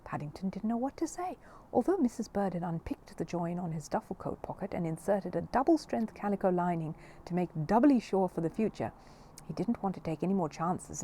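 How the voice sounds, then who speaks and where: A woman reading a children's story aloud as an expressive narrator. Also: fairly low pitch, a little hiss or hum. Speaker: audiobook reader.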